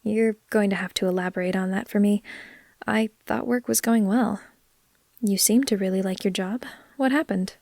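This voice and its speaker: A woman playing a caring, gently teasing vampire in a soft, unhurried, comforting ASMR roleplay, sometimes slipping toward a whisper. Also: medium pitch, fairly even intonation, vocal fry, dry recording, studio quality, close to an everyday voice. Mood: gentle, concerned.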